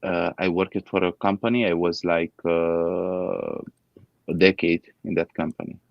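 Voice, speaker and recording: Romanian guy in his 30s, lowish voice, kinda muffled dry sound, stops to find english words. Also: lively intonation, gravelly, no echo. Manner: halting, searching for English words. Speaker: guest. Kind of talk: casual interview chat.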